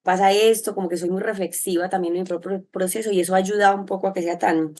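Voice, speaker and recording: A woman with a Medellín Colombian accent, answering in an interview in an expressive, reflective, rambling way. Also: medium pitch, fast talker. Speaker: interview guest, sexologist and psychologist.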